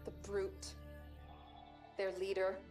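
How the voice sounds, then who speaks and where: A woman playing a shaken young female AI hologram in a video game cutscene, telling her story gravely and deliberately. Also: mid-range voice, monotone, lightly acted. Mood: grave, ominous. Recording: game audio under loud music.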